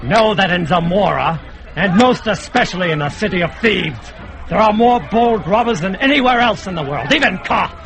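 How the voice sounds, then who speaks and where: A man's voice: high-pitched, lively intonation, moderate pace. An actor playing a fat, boastful male kidnapper in a radio drama, bellowing and jeering with frequent laughter. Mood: mocking.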